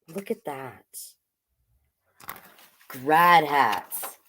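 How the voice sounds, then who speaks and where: A woman hosting a children's storytime in a chatty, animated way, often putting questions to the listeners. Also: on the low side. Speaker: storytime host, drag performer.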